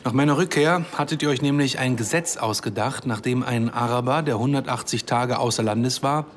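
An actor playing a dryly ironic man in his early forties, telling his story in a measured way in a German radio drama. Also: medium pitch, lightly acted. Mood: wry, bitter. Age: 40s.

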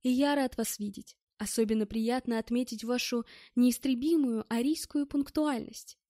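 Женщина читает реплики сдержанного, вежливого героя-мужчины из аудиокниги, говоря успокаивающе и чуть лукаво. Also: female voice, on the high side, moderate pace, dry recording, studio quality, lightly acted. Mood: restrained politeness. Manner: restrained, polite, calming. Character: composed, reassuring male first-person hero, slyly polite.

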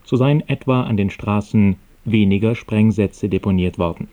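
A man reading scripted radio news in a formal, measured and neutral manner. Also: on the low side, warm tone, dull audio.

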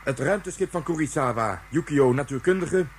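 A man's voice: fairly high pitch, animated, moderate pace, a little hiss or hum, natural voice. A man playing a dutiful military agent reporting in clipped phrases over a radio in a Dutch science fiction radio play.

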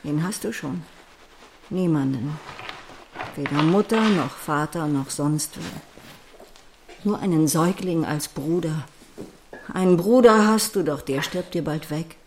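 Despairing mother in war, lowish voice, bitter and weary, radio drama, faint noise. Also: measured pace, long pauses. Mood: bitter, reproachful. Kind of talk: audio drama acting.

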